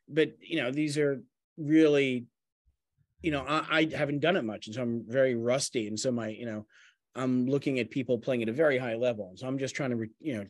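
A middle-aged man, a playwright and actor, reflecting casually in a halting, self-deprecating way on a video call. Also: medium pitch, no room sound, clean studio sound. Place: home room on a video call. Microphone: video call microphone.

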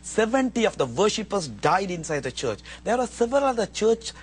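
A man's voice: sits high, big pitch swings, a little fast, a little hiss or hum. A middle-aged man with a Sri Lankan Tamil accent, challenging others in a heated studio debate. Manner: challenging, forceful questions. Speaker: spokesperson, panel guest. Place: TV studio round table. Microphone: lapel.

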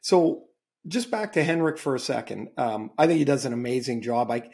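Mellow middle-aged co-host. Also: male voice, medium pitch, lively intonation, normal speed, vocal fry. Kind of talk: casual interview chat. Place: home office. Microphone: video call.

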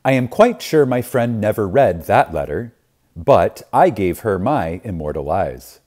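Male lecture reader: very slow and reverent, widely varied intonation, deliberate pauses and emphasis. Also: fairly low pitch, very animated, darkish tone. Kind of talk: scripted spiritual lecture reading.

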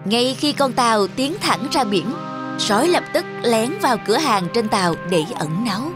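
A woman's voice: on the high side, expressive, normal speed. Woman narrating a children's story over music.

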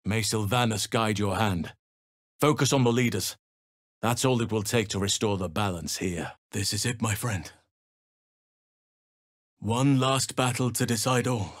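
An actor playing a gentle, solemn male elf druid healer in a video game, speaking in earnest, measured, formal phrases. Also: lowish voice, no echo, studio quality. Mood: calm, earnest. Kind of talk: video game character voice lines.

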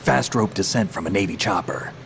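An actor playing a gruff, world-weary soldier in a video game, gravelly, terse and sardonic. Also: male voice, deep voice, lively intonation, a little fast, noisy background. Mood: guarded. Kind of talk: video game cutscene acting.

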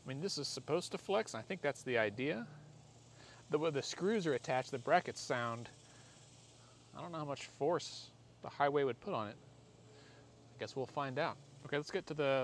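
A man's voice: medium pitch, a bit bright. An American man in his forties talking through a hands-on job in a casual, rambling, self-deprecating way. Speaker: vlogger doing a product installation. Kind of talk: casual hands-on how-to vlog. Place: outdoors in a driveway and inside a parked car. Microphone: camera or phone mic, arm's length to a few feet. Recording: noisy outdoor and in-car camera audio. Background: clear ambient noise.

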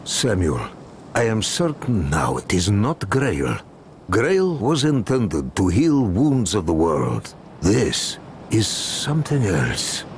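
An actor playing a pious middle-aged priest in a video game, grave in manner. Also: male voice, on the low side, wildly swooping pitch, slow pace, a little hiss or hum, full character voice. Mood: earnest, grave. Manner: grave, drops articles. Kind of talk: video game cutscene acting.

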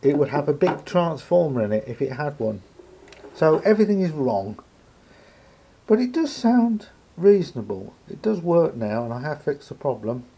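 A man with a gravelly, husky voice gives an unscripted hands-on demonstration, thinking aloud hesitantly as he explains. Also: fairly high pitch, big pitch swings, moderate pace, warm tone, slight background noise. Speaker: hobbyist radio repairer. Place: indoor room at a table.

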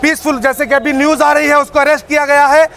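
A man gives an impassioned, forceful public statement as an activist, speaking emphatically outdoors to a news camera. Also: extremely high pitch, level tone, fast talker. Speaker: community activist giving a press statement. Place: busy open street outside shopfronts. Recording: outdoor street noise.

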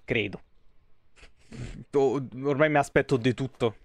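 A man's voice: mid-range voice, unhurried, dry recording. A man in his thirties from Italy giving casual, foul-mouthed gaming commentary and chatting to viewers. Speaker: live streamer playing a video game. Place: indoor home streaming setup. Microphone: close streaming microphone.

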